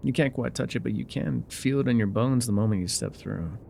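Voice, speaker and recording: An actor playing a gruff, guarded male trail guide in an immersive audio roleplay, with wry, folksy, laconic phrasing. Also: medium pitch, brisk, mellow, slight background noise, lightly acted. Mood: quiet, awed. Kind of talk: immersive ASMR roleplay acting, talking to the listener.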